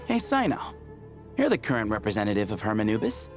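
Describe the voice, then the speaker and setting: A man's voice: lowish voice, huge pitch swings, quick pace, over music. A man playing an easygoing young man in a video game, speaking casually. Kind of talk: video game character acting.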